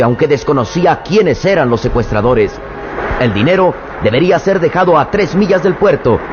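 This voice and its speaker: A man with a Mexican accent narrating an old radio drama in a dramatic, measured storytelling manner. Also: a little high. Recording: muffled old radio recording with background noise.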